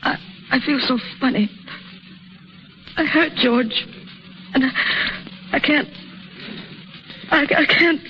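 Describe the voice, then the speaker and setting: A woman's voice: sits high, slow pace, pauses often, a little hiss or hum. An actress playing an anxious, tender young woman in love, earnest and imploring, in a 1930s radio drama. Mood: weak, pleading. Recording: muffled 1930s radio recording, faint music.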